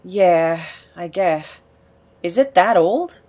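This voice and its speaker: A woman playing an awkward, emotional misfit in an audio drama, hesitant and stammering, quick to put themselves down. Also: on the low side, animated, dark tone. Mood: nervous, sheepish. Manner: hesitant, stammering, self-deprecating. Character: awkward, emotional, rambling misfit named Nap.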